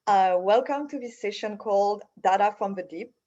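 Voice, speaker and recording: A woman with a French accent hosts an online session in a medium-pitched voice. Her delivery is a little halting, and the call audio sounds slightly muffled. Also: dark tone. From France. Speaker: session facilitator, program manager. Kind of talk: welcoming introduction to an online session. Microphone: video call.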